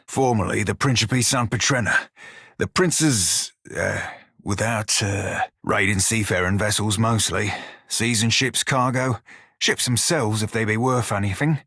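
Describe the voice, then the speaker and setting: An actor playing a cocky, bawdy furry in a video game, with a sly, wry, drawling manner. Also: male voice, on the low side, a little slow, a bit bright, husky, no room sound, studio quality, full character voice. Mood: matter-of-fact. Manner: salty, drawling pirate dialect, sly and wry. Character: bawdy, roguish furry orlan pirate shiphunter, loyal and cocky. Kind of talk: video game character dialogue.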